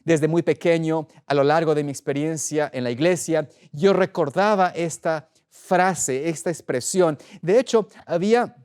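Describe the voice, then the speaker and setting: A middle-aged man preaching as a pastor in an earnest, deliberate way, pausing for emphasis. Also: fairly high pitch, a little mellow, clear, resonant tone. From Ecuador. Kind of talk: sermon, opening with prayer.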